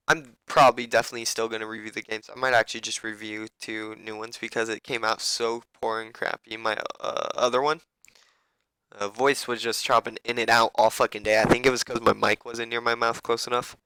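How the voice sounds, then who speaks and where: Young man, raspy and bright-toned, casual profane game coach, dry close mic. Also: medium pitch, fairly even intonation, brisk, dry recording, poor audio. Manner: loose, profane, rambling.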